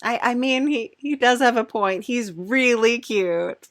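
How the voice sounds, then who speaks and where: A woman hosting a playful, improvised comedy interview in a halting, giggly, chatty way. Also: fairly high pitch, no echo, studio quality. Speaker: podcast host.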